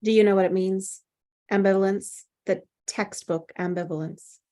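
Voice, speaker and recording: A woman playing a composed, probing psychiatrist in a screenplay table read, speaking in a deliberate, clinical way. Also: mid-range voice, steady tone, dark tone, natural voice. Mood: calm, authoritative. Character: composed, probing female psychiatrist, coolly authoritative.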